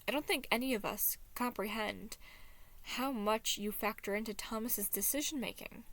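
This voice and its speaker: A woman's voice: on the high side, moderate pace, a bit bright. A woman voicing a pedantic, irritable male figure of logic, with a dry and blunt manner. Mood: matter-of-fact.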